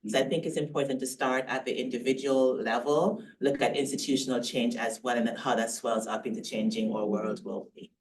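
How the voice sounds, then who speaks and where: A woman's voice: rumbling low, fairly even intonation, brisk, few pauses, a little mellow, a little hiss or hum. Woman with a Jamaican accent, far from mic. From Jamaica, raised in Canada. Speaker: panelist. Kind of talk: panel discussion.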